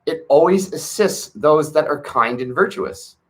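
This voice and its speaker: A man reading a classic text aloud on his front porch, earnest and gentle, with spiritual asides. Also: on the high side, animated, a little slow.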